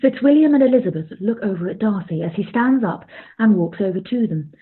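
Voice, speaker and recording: A woman's voice: medium pitch, expressive, brisk, breathy edge. A woman narrating a scripted period radio play as a neutral storyteller, on a tinny recording.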